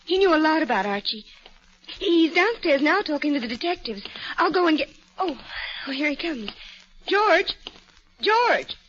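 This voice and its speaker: High-pitched young heroine, distressed yet determined, earnest and insistent, in muffled vintage radio melodrama. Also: female voice, big pitch swings, moderate pace, pauses often, fairly bright tone. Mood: urgent. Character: distressed, determined young woman defending her father. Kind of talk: 1940s radio melodrama acting.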